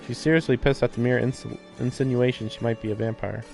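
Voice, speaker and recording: A man's voice: mid-range voice, fairly even intonation, fast, vocal fry, darkish tone. A man streaming a visual novel, reading the text aloud and adding casual, questioning commentary. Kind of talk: live game commentary and read-aloud of on-screen text. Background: game music.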